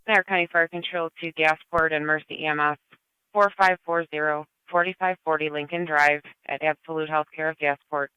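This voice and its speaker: Male dispatcher, higher-pitched, flat intonation, methodical, formal, dull scanner radio sound. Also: brisk, smooth, dry recording. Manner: methodical, repeats details. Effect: two-way radio transmission. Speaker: fire and EMS dispatcher.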